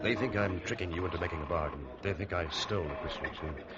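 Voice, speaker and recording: A man playing a calm, determined prisoner accused of murder in an old-time radio drama, speaking in an earnest, low-key, persuasive way. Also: fast talker, over loud music, natural voice. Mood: urgent, confiding. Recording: noisy, muffled vintage radio recording.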